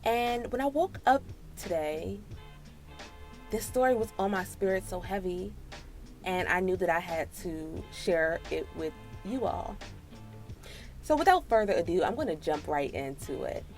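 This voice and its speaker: A woman in her late twenties with an American accent tells a personal story casually, in an animated, sassy, slangy way. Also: lowish voice, unhurried, faint music behind. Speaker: storytime vlogger.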